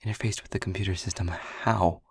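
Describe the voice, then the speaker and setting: A man playing an anxious, protective young lab technician who stammers and keeps his voice to a hushed whisper. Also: low voice, fairly even intonation, a little fast, slightly rough, no echo. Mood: alarmed. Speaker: audio roleplay voice actor. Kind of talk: audio roleplay acting.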